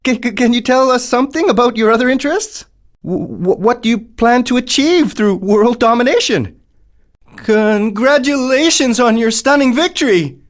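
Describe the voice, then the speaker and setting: A man playing an excitable TV reporter in a video game, putting interview questions to race winners in a showy announcer manner. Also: very high-pitched, moderate pace. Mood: nervous, stammering, then excited.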